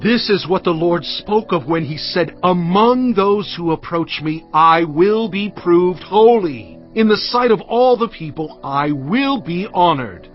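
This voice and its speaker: A man's voice: high voice, lively intonation, measured pace, few pauses, lo-fi sound. A man playing a stern, commanding prophet in a dramatised audio Bible, speaking in a solemn, emphatic, declamatory way. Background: background music.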